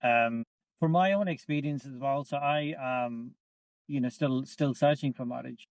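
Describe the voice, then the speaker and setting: A man's voice: medium pitch, clean tone, no echo, poor audio. Thoughtful man in a podcast chat. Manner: thoughtful, measured, with fillers. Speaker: podcast co-host. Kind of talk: casual podcast discussion.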